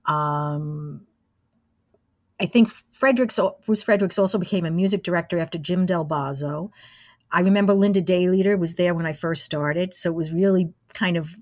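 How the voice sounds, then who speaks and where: A woman's voice: fairly low pitch, normal speed, dry recording, studio quality. A woman in her sixties reminiscing casually as an interview guest. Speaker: interviewee, radio station alumna.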